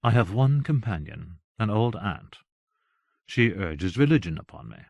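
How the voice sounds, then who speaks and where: A man voicing a lonely, bitter wealthy lady who speaks coolly and measured. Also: lowish voice, big pitch swings, creaky, darkish tone, no room sound, heavily acted. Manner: cool, clipped and measured.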